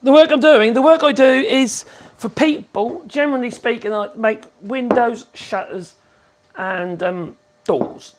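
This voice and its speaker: A man's voice: very high voice, measured pace. A man, hosting a casual woodworking livestream. He rambles in a chatty way and coos to his puppy in a sing-song voice. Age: 50s.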